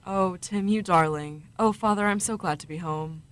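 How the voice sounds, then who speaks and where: A woman plays a grown daughter in a radio play. She sounds affectionate and dutiful, with a medium-pitched voice. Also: normal speed, a bit bright, lightly acted. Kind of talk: radio drama acting.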